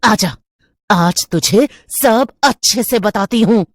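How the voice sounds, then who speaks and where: Cartoon witch played by a woman: menacing neighbour, slow taunting threats, close dry sound. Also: mid-range voice, dry recording, voice pushed up. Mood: mocking menace. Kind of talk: Hindi horror cartoon storytelling.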